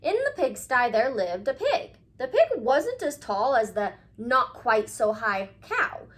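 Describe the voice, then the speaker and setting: A woman teacher talking to young children and reading a storybook aloud in an expressive, emphatic way. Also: on the high side, unhurried.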